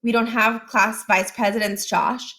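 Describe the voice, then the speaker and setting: A woman voicing a wry, quick schoolgirl with a casual, deadpan manner for a children's story. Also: on the high side. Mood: wry, unimpressed.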